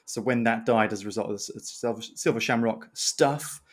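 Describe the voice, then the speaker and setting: A man's voice: lowish voice, a little fast. A man co-hosting a podcast, talking in a casual, conversational style. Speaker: podcast co-host and writer.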